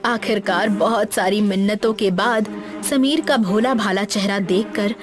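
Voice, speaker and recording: A woman's voice: mid-range voice, unhurried. A woman reading a scripted, dramatic story as its narrator. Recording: music bed behind the voice.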